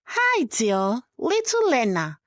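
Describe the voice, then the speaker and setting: Hushed, coaxing uncle for a read-aloud story, put on by a woman narrator; slow, soft delivery. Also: fairly high pitch, wildly swooping pitch, no echo, pitched above the performer's own voice, full character voice. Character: adult man, soft-spoken coaxing uncle.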